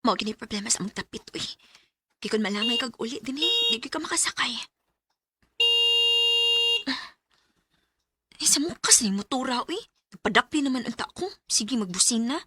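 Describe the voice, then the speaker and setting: A woman's voice: fairly high pitch, very animated, quick pace, background noise. A woman playing an earnest, easily hurt young student in love in a sentimental radio drama, with a Filipino Bisaya accent. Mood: resigned.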